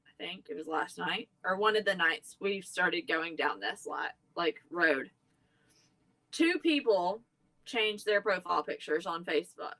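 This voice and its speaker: A woman with a mid-pitched voice, recorded dry. She chats casually as part of a live panel, feeling her way through sentences and rephrasing. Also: sharp tone, no room sound, rough recording. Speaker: stream panelist. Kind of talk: casual group chat.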